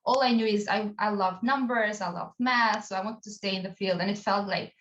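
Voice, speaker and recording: A woman's voice: medium pitch, a little fast, a bit bright. A woman in her thirties from Albania, a chatty and upbeat guest answering interview questions over a video call. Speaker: guest, people analytics analyst. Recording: roomy, dull remote audio.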